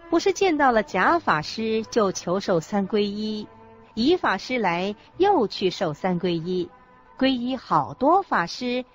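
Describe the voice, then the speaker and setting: A woman playing a solemn explainer of Buddhist teachings, emphatic and deliberate, in a scripted radio drama. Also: medium pitch. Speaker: co-presenter in a radio lecture drama. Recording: dull lo-fi audio with background music. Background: music.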